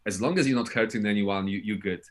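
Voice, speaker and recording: A man's voice: lowish voice, quick pace. A man in his thirties with a Polish accent, telling stories casually and bluntly as a podcast guest. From Poland. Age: 30s. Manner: blunt, sweary, tags phrases with "right?". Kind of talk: casual interview storytelling.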